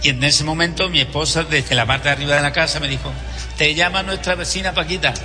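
A man's voice: fairly high pitch, fairly even intonation, fast talker, bright, forceful tone. An older man from Spain with a Spanish accent, a singer and voice teacher chatting effusively as a podcast guest. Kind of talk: casual radio chat. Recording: long hall reverb, faint music.

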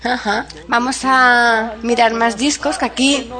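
A woman's voice: a little high, fairly even intonation, measured pace, crowd noise. A woman from Spain with a southern Spanish accent, chatting casually and explaining things in a talkative way as a host. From Spain, Seville area. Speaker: host of a music programme. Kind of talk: casual chat about old records.

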